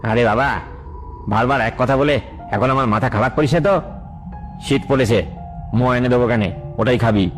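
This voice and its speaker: Man playing an irritable village father, medium pitch. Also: normal speed, long pauses, soft background music, natural voice. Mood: grumbling. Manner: grumbling, exasperated, dismissive. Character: irritable, scolding village father, short on patience. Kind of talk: children's cartoon voice acting.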